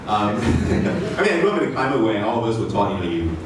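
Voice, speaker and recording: Malaysian man, late 20s, casual self-deprecating startup talk, lowish voice, recorded from far off with noise. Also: big pitch swings, fairly quick. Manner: chatty, self-deprecating, many fillers and restarts. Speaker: startup founder giving a talk. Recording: echoey hall, mic far off, noisy.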